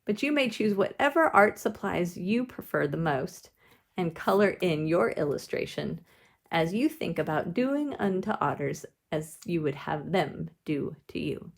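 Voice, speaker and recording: A woman leading a drawing lesson, explaining each step simply and with gentle encouragement, as if to children. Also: lowish voice, animated, unhurried, creaky. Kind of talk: instructional demonstration, step-by-step.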